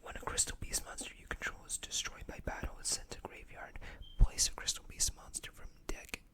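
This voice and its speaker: An ASMR host speaking in a breathy whisper, reading card text aloud with casual asides in a hushed, deliberate way.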